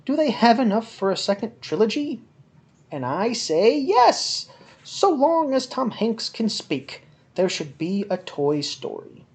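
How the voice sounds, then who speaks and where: A woman performs a written piece as an overblown dramatic reading. Her pitch swings hugely from phrase to phrase, and she stretches key words for theatrical emphasis. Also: a little mellow. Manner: melodramatic, overwrought, with drawn-out emphatic phrases. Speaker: reader of a written film review.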